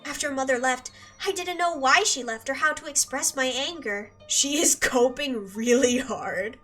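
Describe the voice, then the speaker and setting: A woman's voice: sits high, moderate pace, sharp tone, far from the mic, faint music behind. An actress voicing an unhinged, guilt-ridden teenage girl in a dubbed anime drama, obsessively repeating apologies as she swings between whispers and screams.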